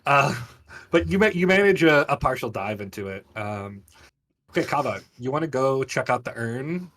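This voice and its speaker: A man's voice: mid-range voice, expressive, moderate pace, a bit bright. A man running a tabletop game as game master, narrating scenes with a descriptive, storytelling delivery.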